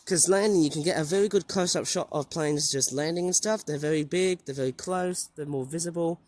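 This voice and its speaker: A man, his voice a bit raised and croaky from the flu. He rambles on with many fillers and restarts. He is talking outdoors near an airport runway, into a handheld camera mic. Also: on the high side, a little fast, darkish tone. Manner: rambling, hesitant, filler-heavy. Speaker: plane-spotting vlogger. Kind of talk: casual running commentary.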